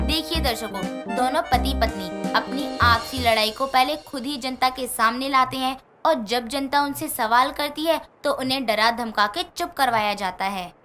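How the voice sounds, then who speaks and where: A woman playing a cheerful, brazen young housewife who talks like a theatrical news reporter in an animated story. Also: high-pitched, normal speed, few pauses. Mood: mock-reporting. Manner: mock news-anchor, theatrical, addressing imaginary viewers. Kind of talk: animated moral story dialogue. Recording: music behind the voice.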